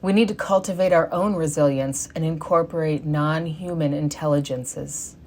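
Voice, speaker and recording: A woman delivering a read sermon at a church service, earnest and measured. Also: deep voice, steady tone, darkish tone, slight background noise. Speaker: lay sermon speaker.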